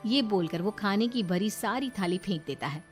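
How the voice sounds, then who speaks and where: A woman's voice: medium pitch, lively intonation, normal speed, breathy edge, faint music behind. A woman storyteller reading scripted narration for a moral story.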